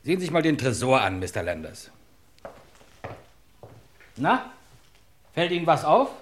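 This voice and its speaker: An actor playing a cool, probing chief inspector in a vintage crime radio drama, clipped, polite and authoritative. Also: male voice, fairly high pitch, very animated, measured pace. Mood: brisk, probing.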